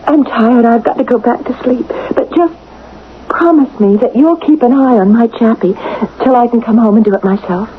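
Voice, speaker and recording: A woman's voice: fairly high pitch, normal speed, lightly acted. An actress playing a devoted, reproachful young woman in a 1970s radio drama, earnest and emotional. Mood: tender, coaxing. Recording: tinny, noisy vintage radio broadcast.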